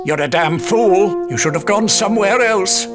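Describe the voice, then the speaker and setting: An actor playing a blunt, abrasive, bossy employer barking orders in a radio drama. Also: male voice, high-pitched, normal speed, natural voice. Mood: gruff, irritated. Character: gruff, bossy older boss barking at a new hire. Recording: loud music under the voice.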